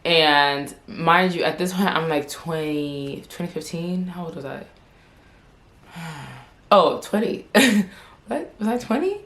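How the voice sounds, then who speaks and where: A young woman in her twenties with a low, deep-set voice tells a long personal story straight to her viewers. She rambles and leans on "like" constantly. She stutters and doubles back on her words. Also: expressive, takes it slowly, pauses often, a bit bright. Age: 20s. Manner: chatty and rambling, heavy use of "like". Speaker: vlogger. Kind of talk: casual storytelling to camera.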